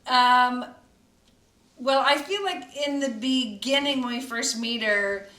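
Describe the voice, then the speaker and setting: Cast member, woman: slow, slightly high, chuckling, halting, roomy distant sound. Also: pushed and bright. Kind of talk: casual chat. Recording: roomy room, distant mic.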